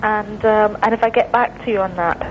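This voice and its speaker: A woman's voice: mid-range voice, steady tone, brisk, slight background noise. A woman from Glasgow with a Scottish accent, working as a dental receptionist on a phone call, hesitant and full of fillers. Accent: Glaswegian Scottish. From Glasgow, Scotland. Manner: hesitant, many "um" and "I mean" fillers. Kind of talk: phone conversation.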